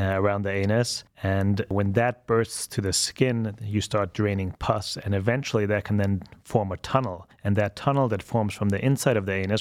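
This is a middle-aged man, speaking in a lowish voice. He explains medical matters in a calm, methodical way. The sound is dry and close, with almost no room. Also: no echo. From Austria. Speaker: colorectal surgeon guest.

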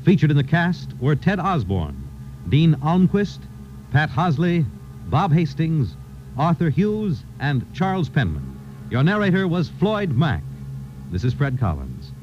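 A man's voice: on the high side, very animated, measured pace, a little mellow, highs cut off, a little hiss or hum. A man announcing in 1950s network radio style, on an old broadcast recording.

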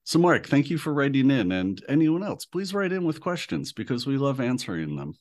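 A man hosting a travel podcast, talking in a relaxed, friendly and earnest way. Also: mid-range voice, brisk. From United States. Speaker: podcast host. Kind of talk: casual podcast chat.

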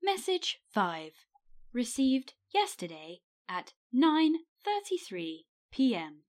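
A woman's voice: a little high, very animated, slow pace, voice pushed up. Woman acting as answering machine, robotic, formal, clipped delivery, impersonal announcements.

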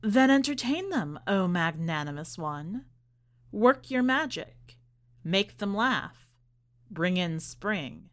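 A woman's voice: mid-range voice, expressive, slow. A woman reader voicing a defiant, sardonic female clown with a cool, mocking manner in a story reading.

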